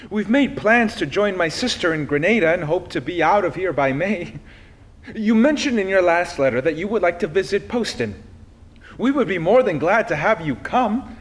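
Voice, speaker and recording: Man reading aloud, lively swings in pitch. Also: normal speed. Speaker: reader of a historical letter. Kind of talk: expressive read-aloud of a personal letter.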